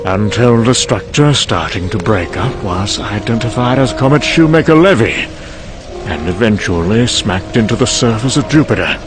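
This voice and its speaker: An actor playing a cynical, boozy British scientist delivering a sardonic, erudite monologue in an audio comic. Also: male voice, fairly low pitch, animated, moderate pace, music in the background, close to an everyday voice. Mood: wry storytelling. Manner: sardonic, erudite, with sudden bursts of temper.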